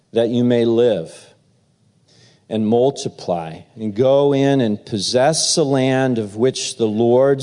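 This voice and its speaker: A man preaching as pastor, halting with many fillers as he talks, then reading scripture aloud. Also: mid-range voice, warm tone.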